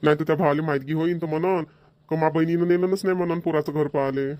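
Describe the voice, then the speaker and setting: A middle-aged cartoon village father, voiced by a man grumbles at his idle son. He talks quickly, in a rather high voice. The sound is dry and close. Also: fairly even intonation, darkish tone, no echo, lightly acted. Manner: rural Marathi dialect, grumbling, repetitive. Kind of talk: cartoon voice acting.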